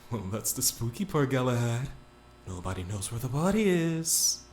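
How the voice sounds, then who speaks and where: A woman plays a blunt, sardonic young man with a very deep voice that drawls teasing remarks. A faint hum sits behind the voice. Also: sing-song, normal speed, a little mellow.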